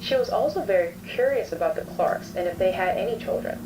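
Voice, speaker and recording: A woman's voice: mid-range voice, lively intonation, a little fast, lightly acted. A woman playing a composed, probing child therapist who records session notes in a scripted horror story. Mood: composed, uneasy. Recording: distant playback with clear background noise.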